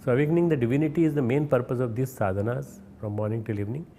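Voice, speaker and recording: Indian-accented man, mellow spiritual talk. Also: medium pitch, very fast, a little hiss or hum. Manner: hesitant, repeats and restarts words; earnest, instructive sermon tone. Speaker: spiritual leader. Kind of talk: recorded spiritual message.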